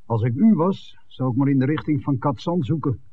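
An actor playing a middle-aged, guarded village innkeeper, hesitant and grudging, in a 1960s Dutch radio drama. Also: male voice, medium pitch, animated, brisk, lightly acted. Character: guarded, discouraging village innkeeper, father of grown daughter. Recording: tinny, narrow old radio recording.

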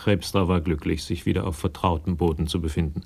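An actor as the composed narrator of a detective radio drama, reading scripted prose. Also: male voice, low voice, fairly even intonation, a little fast, creaky, darkish tone, husky, exaggerated voice. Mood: sober.

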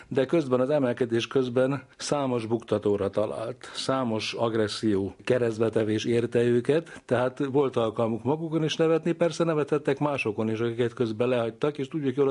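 Man, mellow tone, mid pitch, scholarly, discursive, interview expert. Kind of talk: reflective interview explanation.

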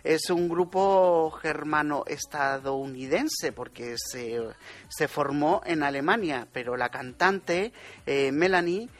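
A man's voice: a little high, slow pace, a bit bright. A man with a Spanish accent, a radio music contributor chatting casually and explaining songs and bands. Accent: Spanish from Spain. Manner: chatty, explanatory, laughs at his own pronunciation.

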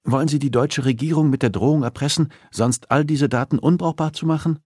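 Man voicing a defiant captive policewoman, raised pitch. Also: a little fast, darkish tone, husky, no echo, studio quality. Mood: wary, probing. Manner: defensive, stubborn, strained. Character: defiant captive female homicide detective under interrogation.

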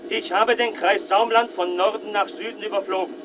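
A man's voice: high voice, fairly even intonation, brisk, lightly acted. An actor playing an appalled, urgent male traffic reporter giving a live report in a radio drama. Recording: clear aircraft noise behind.

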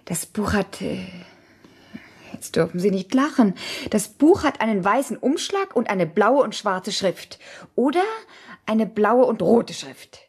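An actress playing a flustered married lady in a German radio play, hesitant, halting and polite. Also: mid-range voice, animated, a little mellow, husky.

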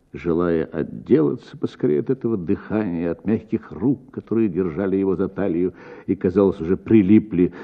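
A male actor narrating a literary story for radio with dramatic, measured delivery and deliberate pauses. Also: deep voice, creaky, slightly rough, narrow, tinny audio.

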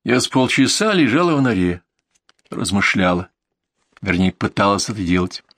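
A man's voice: on the low side, big pitch swings, normal speed, a bit bright, no echo. A man reading a scripted first-person story as an audiobook narrator.

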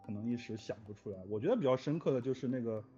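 A man's voice: medium pitch, expressive, racing, mellow. Dull lo-fi recording, man chatting earnestly. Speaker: music composer for audio dramas. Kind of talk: casual reflective interview chat. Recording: dull lo-fi audio with music behind. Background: music.

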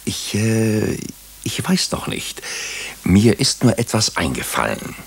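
Gravelly, very low-voiced wry doctor turned sleuth. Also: male voice, very animated, takes it slowly, exaggerated voice. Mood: hesitant, confiding. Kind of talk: German radio drama acting with first-person narration.

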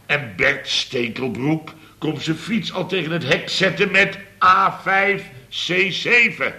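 An actor playing a blustering, indignant boss of a building firm in a Dutch radio comedy, exclaiming and grumbling. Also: male voice, mid-range voice, bright, forceful tone. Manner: exclamatory, grumbling, tags sentences with "hè" and "zeg".

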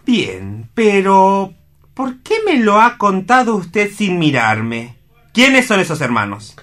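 Radio melodrama lead: troubled, insistent man, emotional, slow and halting, fairly high voice. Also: huge pitch swings, a bit bright, exaggerated voice. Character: troubled, intense man tormented by brotherly rivalry. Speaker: radio drama actor. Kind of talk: melodramatic radio play acting.

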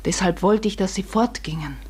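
An actress playing a middle-aged farmer's wife in a German radio drama, anxious and secretive, with a husky, hushed and halting delivery. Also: female voice, medium pitch, natural voice. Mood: guilty, grave.

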